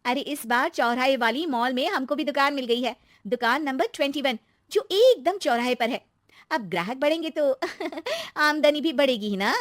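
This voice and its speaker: A woman voicing an excitable, chatty young married daughter-in-law who is easily aggrieved, in a storybook style. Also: high-pitched, normal speed, dry recording, clean studio sound, pitched above the performer's own voice. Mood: excited.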